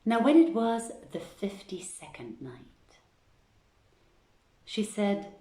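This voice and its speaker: A woman's voice: mid-range voice, very animated, takes it slowly, warm tone, recorded from a distance. A woman hosting a reading and telling an old literary tale aloud at home. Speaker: reader and host of a live-streamed reading series. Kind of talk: literary storytelling read aloud, archaic prose and verse. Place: indoors at home. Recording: roomy home livestream echo.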